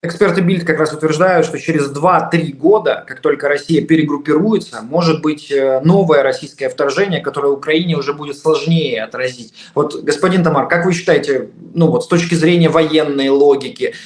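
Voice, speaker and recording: A man with a fairly high voice puts questions to his guest in a measured, hedging way. His voice carries a noticeable roomy echo. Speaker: interviewer. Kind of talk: interview questions.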